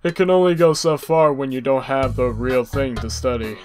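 An actor voicing a weary, gentle, evasive skeleton older brother with hesitant, subdued speech in a fan comic dub. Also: mid-range voice, normal speed, faint music behind, close to an everyday voice. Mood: tired, evasive.

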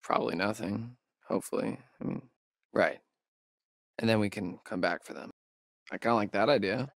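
An actor playing a sarcastic young American camp counselor in a horror video game, hesitant and conversational in delivery. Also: male voice, on the low side, normal speed, vocal fry, no room sound. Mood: uneasy. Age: young adult. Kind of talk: naturalistic horror game dialogue.

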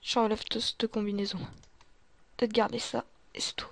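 A woman's voice: a little high, little pitch movement, normal speed, creaky, fairly bright tone. A woman doing in-character gameplay commentary, muttering to herself, often dropping into whispers and breaking into exclamations. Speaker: gamer playing a role-play survival series.

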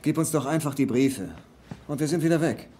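An actor playing an earnest, insistent treasure hunter, a grown son, in a dubbed film. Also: male voice, fairly high pitch, brisk, warm tone, breathy edge. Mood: frustrated. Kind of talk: German film dub acting. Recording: film soundtrack with music underneath.

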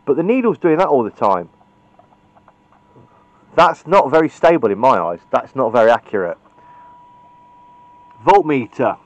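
A man's voice: mid-range voice, very animated, measured pace, pauses often, dry recording, rough recording. A British man giving an informal, opinionated hands-on tutorial in a blunt way, recorded on a camera's built-in microphone. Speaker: radio repair hobbyist presenting a tutorial. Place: indoors at a cluttered electronics workbench.

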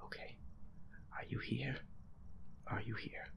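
A gamer giving hushed, breathy horror game commentary in a nervous, hesitant, profane mutter. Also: slow, in a whisper. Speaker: gamer playing a ghost-hunting game.

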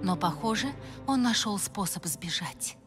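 A woman voicing a grave, regal pony ruler in a cartoon dub, measured and solemn. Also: medium pitch, expressive, music in the background, natural voice. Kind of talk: animated cartoon dub acting.

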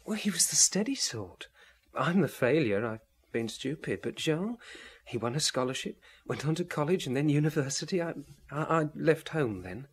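A man's voice: on the high side, sing-song, moderate pace, husky. An actor playing a meek, anxious, defeated man in his thirties who stammers hesitantly in a radio drama. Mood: dejected. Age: 30s. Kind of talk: old radio drama acting.

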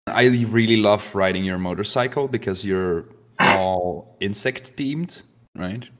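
Casting: lowish male player, goofy and a bit stop-start. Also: noisy background. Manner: halting, playful, many fillers. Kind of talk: casual tabletop roleplay chat.

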